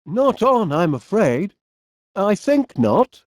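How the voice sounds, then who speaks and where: A man voicing a genial, polite, eccentric middle-aged inventor with a Northern English accent in an animated comedy game. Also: sits high, no room sound, studio quality, exaggerated voice. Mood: polite, reluctant. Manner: polite, quaint British turns of phrase, drawn-out words. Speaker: video game voice actor.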